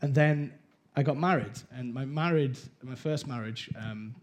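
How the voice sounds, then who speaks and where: A man's voice: mid-range voice, a little slow. Man from Wales, candid church testimony speaker, acts out the voices in his story. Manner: candid storyteller, acts out quotes, laughs at himself. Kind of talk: personal testimony talk.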